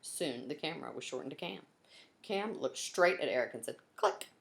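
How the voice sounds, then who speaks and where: A woman's voice: deep voice, animated, a little fast, creaky, noisy background. A woman narrating a children's storybook aloud expressively, like a teacher reading to young pupils.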